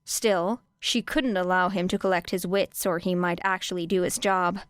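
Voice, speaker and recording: Woman reading a story aloud, really clear smooth voice, no room echo at all. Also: on the low side, steady tone, moderate pace, clean studio sound. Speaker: audiobook narrator. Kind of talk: scripted fiction narration.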